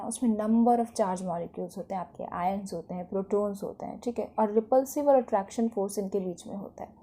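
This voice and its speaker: Higher-pitched Indian woman lecturer with mellow tone. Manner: didactic, often checks understanding with short tag questions. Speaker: physiotherapy lecturer. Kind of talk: explanatory lecture mixing in English terms.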